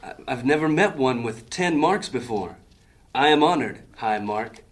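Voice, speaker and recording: A man's voice: mid-range voice, lively intonation, unhurried, lightly acted. A man playing a formal, proud thieves' leader. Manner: formal, ceremonious. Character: formal male thief gang leader, proud. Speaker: actor. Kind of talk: audio drama acting.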